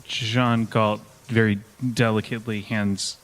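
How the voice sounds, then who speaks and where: Lowish-voiced man playing a tabletop game. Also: little pitch movement, a little slow, fairly bright tone, poor audio. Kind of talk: casual improvised game narration.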